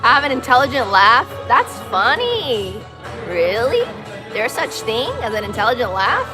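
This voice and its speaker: A young American woman with a light Puerto Rican accent, chatting casually on a livestream in an expressive, slangy, opinionated way. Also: fairly high pitch, moderate pace. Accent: Puerto Rican-tinged American. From Massachusetts, USA. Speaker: live streamer. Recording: music playing behind.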